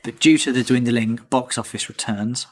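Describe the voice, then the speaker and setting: A man's voice: medium pitch, moderate pace, a crowd in the background. A man hosting a casual podcast, reading out trivia with a teasing, jokey manner. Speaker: podcast host.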